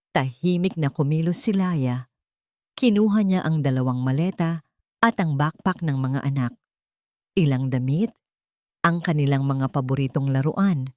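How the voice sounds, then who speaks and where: Female narrator, Filipino accent, lower register, scripted drama storytelling, dry recording. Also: warm tone, no room sound.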